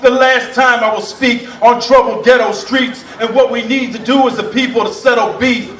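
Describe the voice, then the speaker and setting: A man's voice: very high-pitched, steady tone, normal speed, a bit bright, reverberant, recorded from a distance, rough recording. A man performing spoken word poetry on stage in a rhythmic, impassioned, rhyming delivery. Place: performance venue. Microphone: stage mic, heard from a distance.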